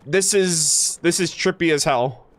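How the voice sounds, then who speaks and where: A woman streamer giving casual, excitable, slangy reaction commentary while playing a game. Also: low-pitched, unhurried, no room sound. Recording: faint game music behind the voice.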